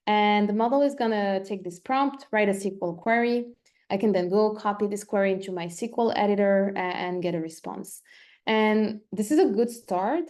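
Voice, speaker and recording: Casting: female webinar presenter, mellow relaxed tone, mid-range pitch, methodical step-by-step explainer. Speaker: company presenter in a technical webinar.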